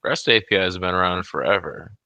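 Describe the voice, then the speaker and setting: A man's voice: deep voice, fairly quick, sharp tone, no room sound. A man in a casual chat, explaining things offhandedly, self-deprecating and swearing casually. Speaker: guest, software developer.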